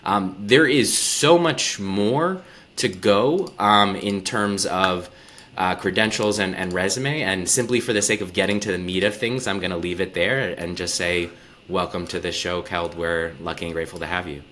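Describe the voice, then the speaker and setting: Man, podcast host, very deep voice, bright tone, enthusiastic, thoughtful. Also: moderate pace, a little hiss or hum. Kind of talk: podcast interview.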